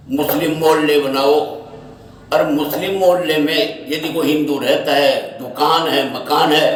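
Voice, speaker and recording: A man giving an unscripted opinion monologue in halting, short phrases, often repeating himself. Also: a little high. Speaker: social media speaker giving his opinion. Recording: echoey, distant mic, faint noise.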